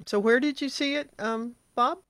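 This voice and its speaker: An older woman in casual conversation, reminiscing with a halting delivery. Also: sits high, dry recording, studio quality. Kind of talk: casual chat.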